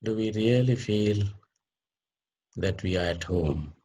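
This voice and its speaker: A man with a low, husky voice guides a meditation. He speaks very slowly and deliberately, setting out short phrases with pauses, as a teacher instructing a group would. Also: dark tone.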